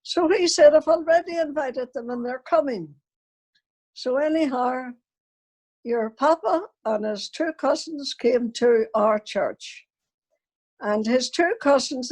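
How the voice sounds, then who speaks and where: High-voiced elderly grandmother telling stories. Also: darkish tone, rough recording. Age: older, elderly grandmother. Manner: anecdotal, acts out remembered dialogue. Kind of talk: unscripted personal storytelling to family.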